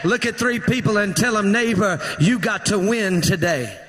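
A man preaching a fervent sermon in a church, his voice strained from shouting and rousing the congregation to respond. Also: high-pitched, normal speed, a little hiss or hum. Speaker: pastor. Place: church sanctuary. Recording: echoey church hall reverb.

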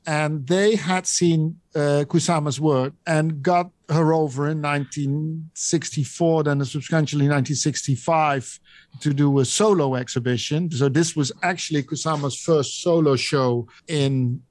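A man with a Dutch accent talks through an artwork, pausing often with ums and restarts. His voice sits fairly high for a man. The sound is close and dry, with little room around it. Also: a little mellow, no echo. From Netherlands. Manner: hesitant, many ums and restarts. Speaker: auction house specialist. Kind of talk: interview explaining an artwork.

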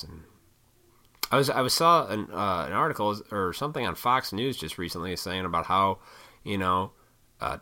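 A man in his thirties rants off the cuff, swearing and wandering between points. His voice sits low but comes out hard and pushed, with a froggy throat he keeps clearing. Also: bright, forceful tone. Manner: profane, opinionated, rambling. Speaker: vlogger. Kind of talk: rambling casual rant to camera.

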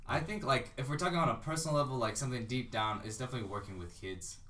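A man's voice: mid-range voice, very fast, fairly bright tone, distant mic. A young man in casual podcast chat, rambling with lots of fillers. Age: young adult. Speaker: podcast co-host.

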